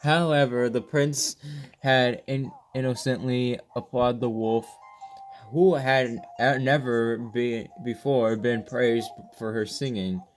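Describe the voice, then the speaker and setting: Gaming host, slow halting read-aloud, mid pitch, casual asides, faint music. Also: fairly even intonation, low-quality recording. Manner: halting, often stumbles and rereads words. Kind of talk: reading game story text aloud with casual reactions.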